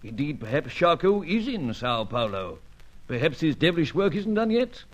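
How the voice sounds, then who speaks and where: A man's voice: on the high side, very animated, moderate pace. A man playing a teasing, jovial spy chief in a vintage radio serial, pompous and avuncular, with an upper-class British accent. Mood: pondering. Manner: pompous, old-fashioned, avuncular.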